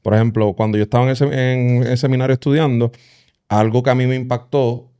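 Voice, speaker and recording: A Puerto Rican man in casual podcast conversation, his speech quick and lively. His voice sits at an ordinary middle pitch. He sometimes slips English words into his Spanish. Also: smooth. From Puerto Rico. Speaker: podcast co-host. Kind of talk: casual church discussion.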